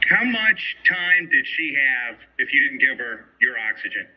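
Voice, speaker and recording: A man conducts an interview in a conversational style. His voice sits at a middle pitch, and the recording is somewhat dull and lo-fi. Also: sing-song, a little slow, slightly rough. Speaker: radio interviewer. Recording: dull, lo-fi radio sound.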